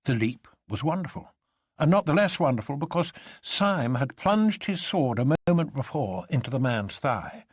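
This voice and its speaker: Male audiobook narrator: theatrical classic-fiction read, husky timbre, highly varied intonation, dry recording. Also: normal speed, no echo. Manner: theatrical, expressive.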